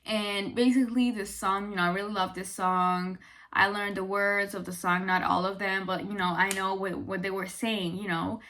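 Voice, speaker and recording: A young woman talks straight to her viewers in a bright, clear voice. She is chatty and animated and fills her sentences with "like" and "you know". Her pitch sits in the middle range for a woman. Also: moderate pace. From Italy. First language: Italian. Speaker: vlogger. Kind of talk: casual talk to camera.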